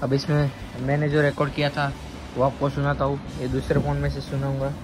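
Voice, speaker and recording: A man's voice: mid-range voice, completely flat delivery, fairly quick. A young man, casually narrating a vlog to his viewers. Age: young adult. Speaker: vlogger. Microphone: phone at arm's length.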